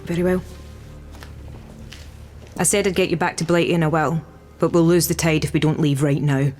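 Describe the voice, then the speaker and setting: An actor playing a brisk, urgent British resistance contact. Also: male voice, on the high side, steady tone, darkish tone, faint music behind, lightly acted.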